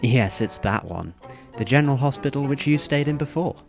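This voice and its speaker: A man playing a polite, unflappably cheerful high school boy in an anime-style audio drama, courteous and composed. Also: expressive, moderate pace, gravelly. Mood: obliging, pleasant. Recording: faint music behind the voice.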